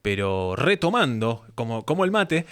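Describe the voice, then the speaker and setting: A man with an Argentine accent hosting a casual interview, speaking in halting, digressive phrases. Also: medium pitch, big pitch swings, fairly bright tone, clean tone. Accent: Argentine Spanish. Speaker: interview host.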